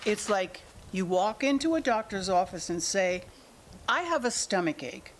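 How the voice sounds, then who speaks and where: A woman acts out an older mother in a staged drama reading. She is defensive and evasive, deflecting and arguing her side at a middling pitch. There is some room noise behind her. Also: very animated, moderate pace, natural voice. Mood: explaining. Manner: deflecting, argumentative, talks in analogies. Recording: noisy room ambience.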